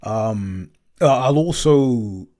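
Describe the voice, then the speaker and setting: A man's voice: mid-range voice, animated, extremely slow, mellow. A man co-hosting a podcast in casual chat, talking freely with many "um" and "you know" fillers. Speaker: podcast co-host and editor.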